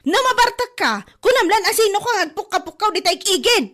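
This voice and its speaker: A woman acts out a nagging woman with an Ilocano accent who scolds a drunk. Her voice is very high and shrill. She keeps up a sharp, scolding tone. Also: lively intonation, brisk.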